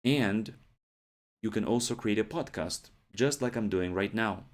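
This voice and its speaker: Man teaching English, lowish voice. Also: a little slow. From Ukraine. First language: Ukrainian. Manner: explains steadily, often adds "yeah?" and "right?" checks. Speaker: English teacher, podcast host. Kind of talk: podcast lesson monologue.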